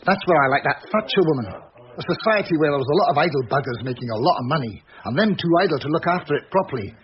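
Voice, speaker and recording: An actor playing a chatty, cynical ex-crook who runs a country pub, bluntly grumbling and bantering in a radio drama. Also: male voice, on the high side, lively intonation, very fast, close to an everyday voice. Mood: wry, matey. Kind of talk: naturalistic radio drama acting. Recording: muffled, noisy old radio recording.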